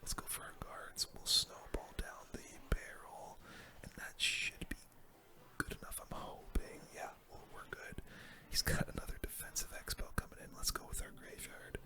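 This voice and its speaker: A person giving casual, slangy ASMR gaming commentary in a soft, unvoiced whisper. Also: normal speed. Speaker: gaming video host.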